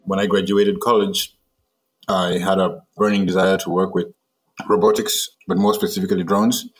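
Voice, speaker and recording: Low flat-voiced guy from malawi, startup co-founder, explains things steadily. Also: moderate pace, husky. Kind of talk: casual interview talk.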